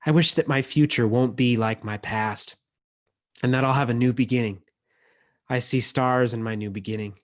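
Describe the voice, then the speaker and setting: A man's voice: medium pitch, steady tone, moderate pace. Man hosting an online poetry reading. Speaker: emcee and book editor. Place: video call. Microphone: video call microphone.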